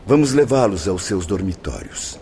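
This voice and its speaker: A man's voice: low-pitched, lively intonation, normal speed. An actor playing an orderly, polite male hospital assistant in a radio drama, giving instructions in Portuguese with a Brazilian accent. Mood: courteous, directing.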